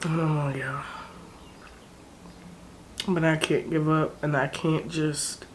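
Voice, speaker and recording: A woman's voice: deep voice, level tone, slow pace, a little hiss or hum. A woman in her twenties from South Jersey with a South Jersey accent, tearful and tired, venting frankly and with plenty of swearing about her struggles in a vlog. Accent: American, South Jersey. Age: 20s. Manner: frank, profane, weary. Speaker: vlogger. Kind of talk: emotional vent to camera.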